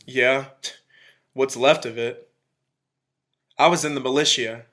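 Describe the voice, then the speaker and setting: A man's voice: medium pitch, fairly even intonation, slow, pushed and bright. An actor playing an embittered, zealous militiaman who survived an alien invasion, in a radio drama, speaking in emphatic, folksy, clipped phrases. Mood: bitter, defeated.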